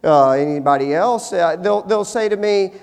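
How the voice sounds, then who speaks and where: A middle-aged male pastor preaching a sermon, shifting from hushed, slow stretches to emphatic, rising appeals. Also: sits high, lively intonation, smooth. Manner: dynamic, swings from hushed to emphatic. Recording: long hall reverb.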